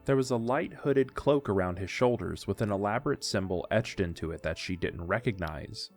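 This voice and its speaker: A man narrates an audio story in a low voice. He reads scripted prose, with music playing faintly underneath. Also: moderate pace.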